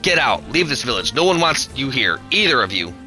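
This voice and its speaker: A man's voice: mid-range voice, expressive, fast, sharp tone, faint music behind, pitched above the performer's own voice, low-quality recording. A man doing a jeering, cruel village bully boy. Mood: furious. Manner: jeering, taunting.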